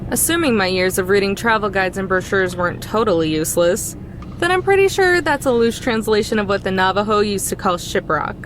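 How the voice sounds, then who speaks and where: A woman's voice: medium pitch, lively intonation, brisk, noisy background, lightly acted. An actress playing a dry, sarcastic, level-headed young woman in a visual novel, speaking in a matter-of-fact way. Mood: explaining. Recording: loud music under the voice.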